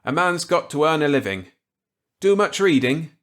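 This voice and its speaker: A man's voice: a little high, big pitch swings, moderate pace, a bit bright, pitched above the performer's own voice. A man voicing a genial, wry, unrepentant scientist in a storybook reading, with clipped, breezy delivery.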